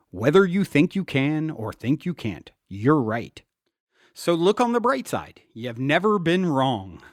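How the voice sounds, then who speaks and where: A man reading his own self-help audiobook in an earnest, deliberate, instructional way. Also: on the high side, dry recording, clean studio sound.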